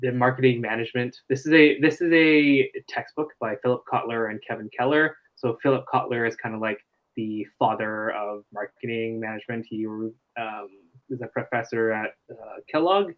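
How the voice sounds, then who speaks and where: A man's voice: medium pitch, moderate pace, dull audio. A man presenting a marketing webinar over a video call, explaining loosely with lots of fillers. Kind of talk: casual live webinar teaching.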